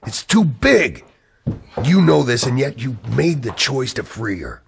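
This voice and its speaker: Grave conspiracy insider for radio play. Creaky mid-range voice, ominous and urgent. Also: male voice, medium pitch, big pitch swings, measured pace, a little hiss or hum. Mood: grave warning. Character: Zeke, grave male insider warning of conspiracy. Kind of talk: radio drama acting.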